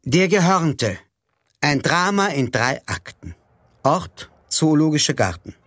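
A man's voice: a little high, big pitch swings, takes it slowly, fairly bright tone, dry recording, studio quality, heavily acted. A man playing a mock-solemn storyteller, narrating an absurd radio play with theatrical announcements.

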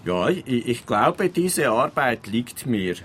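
An actor playing a dim, eager, literal-minded police trainee with a Swiss accent, stammering and repeating words in a radio comedy. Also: male voice, mid-range voice, sing-song, slow, a bit bright, slightly rough, full character voice. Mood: eager, earnest.

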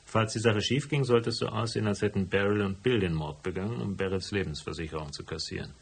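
Husky, low-voiced hardboiled private eye. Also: male voice, fast. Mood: matter-of-fact. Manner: dry, matter-of-fact. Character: stubborn, hardboiled male private detective, dry and laconic. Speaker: voice actor and first-person narrator. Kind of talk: German radio drama, dialogue and narration.

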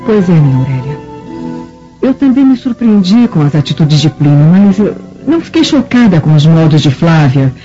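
A woman's voice: low voice, big pitch swings, normal speed, dark tone. A woman playing a bitter, venomous Roman mother in a radio drama, speaking with theatrical, emphatic indignation. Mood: scornful. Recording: dull radio drama recording with music.

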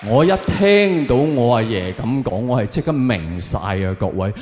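A man's voice: medium pitch, expressive, normal speed, a little mellow, a little hiss or hum. In a large echoey hall with long reverb, a man delivers stand-up comedy with a Hong Kong Cantonese accent. He is conversational and puts rhetorical questions straight to the room.

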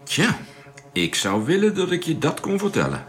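A man's voice: a little high, wildly swooping pitch, moderate pace, fairly bright tone. A man acting in a radio drama as a curious, courteous space traveller from Earth who asks many questions. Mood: puzzled.

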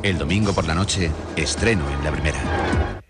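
A man's voice: extremely low pitch, moderate pace. A man voicing a terse thriller promo for television, in the style of a film trailer. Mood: terse, ominous. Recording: promo clip under loud music. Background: loud music.

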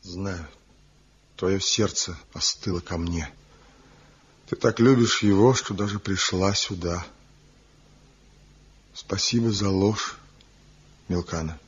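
An actor playing Zhivko, a passionate rejected lover and master carver, in slow, brooding, impassioned declamation in an old radio drama. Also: male voice, sits low, a little hiss or hum. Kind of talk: 1970s radio drama acting. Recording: dull lo-fi old radio recording with music.

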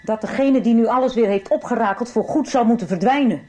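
A woman's voice: a little high, fast, darkish tone, lightly acted. An actress as a menacing woman in a radio soap, taunting and commanding with open scorn. She presses her listener hard in a confrontational way. Faint music sits in the recording. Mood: cold, pressing. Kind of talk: 1990s radio soap acting.

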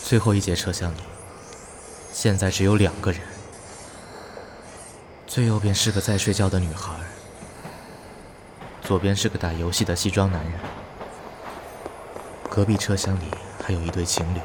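A man's voice: deep voice, fairly even intonation, moderate pace, pauses often, noisy background, natural voice. A man playing a wary, anxious protagonist in a hesitant, brooding inner monologue for a suspense audio drama. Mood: wary, suspenseful. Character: wary, anxious man deducing which passenger is a ghost.

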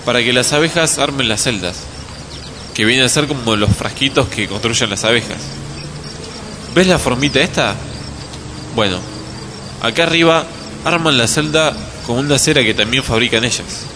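A man's voice: mid-range voice, moderate pace, long pauses, background noise, natural voice. A man playing a patient, kindly beekeeper grandfather with an Argentine accent, explaining things simply in a radio drama. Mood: patient, explanatory. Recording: clear outdoor birds and insects. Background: birds, crickets, countryside ambience.

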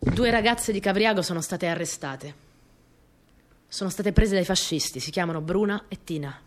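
An actress playing a small, earnest, easily flustered young wartime partisan courier, telling her story in an intimate, expressive radio drama monologue. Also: fairly low pitch, normal speed, close to an everyday voice. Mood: hushed, confiding.

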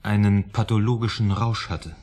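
Guy acting troubled writer in a radio drama, low rough husky voice, anxious, polite, kinda hesitant and pleading. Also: steady tone, moderate pace, darkish tone, natural voice. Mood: anxious, earnest.

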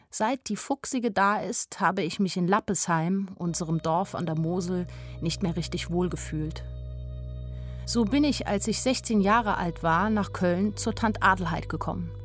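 A young woman, played by an actress, recounts her life in a radio play. Her voice sits on the low side, weary and candid, with faint music behind it. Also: natural voice. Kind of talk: first-person radio play narration.

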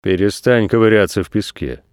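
A man plays a calm, ancient-world planet in a children's tale. The delivery is slow and measured, with gentle sternness. The sound is close and dry. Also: fairly low pitch, smooth, no echo. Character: planet Earth, calm, stern, unhurried female being. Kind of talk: children's story reading.

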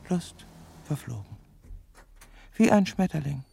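An actress playing a bitter, lovesick middle-aged woman who has turned killer, speaking in a deliberate, sardonic, brooding way in a radio drama. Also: deep voice, lively intonation, gravelly, warm tone, a little hiss or hum, heavily acted. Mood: brooding, detached. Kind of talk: radio drama acting with intimate inner monologue.

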